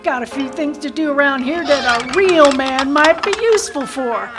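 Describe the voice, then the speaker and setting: A woman's voice: sits high, moderate pace, over music, natural voice. A woman playing a brassy, flirtatious, bossy saloon madam with folksy frontier speech in an amateur radio western. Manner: sassy, folksy frontier grammar. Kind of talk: amateur old-time radio play acting.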